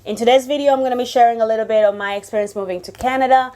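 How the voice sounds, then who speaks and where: A young woman from Uganda, not a native English speaker, telling a personal story to camera in a casual, animated way with many fillers. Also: fairly high pitch, racing. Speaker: vlogger.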